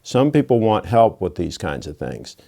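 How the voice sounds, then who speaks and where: A man's voice: low voice, very animated, moderate pace, creaky, warm tone. A man of sixty hosting his own channel, lecturing bluntly in a casual monologue with folksy asides. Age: 60s. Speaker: watch channel host.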